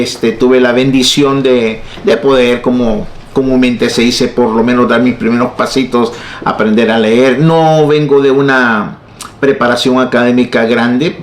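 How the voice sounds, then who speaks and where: A man's voice: mid-range voice, reverberant. Joking Salvadoran pastor in his sixties. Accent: Salvadoran Spanish. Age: 60s. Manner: jokey, laughs at his own jokes. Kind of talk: personal testimony in an interview.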